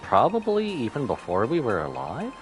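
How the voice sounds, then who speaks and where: A man's voice: mid-range voice, wildly swooping pitch, normal speed, faint music behind. A man giving casual, wry live commentary as he streams a game, joking and reading bits aloud.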